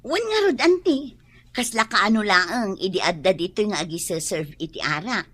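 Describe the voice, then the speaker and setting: A woman with an Ilocano accent playing a chatty younger woman gushing about her friend in a radio drama, animated, gossipy and husky. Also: mid-range voice, normal speed. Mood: excited, admiring.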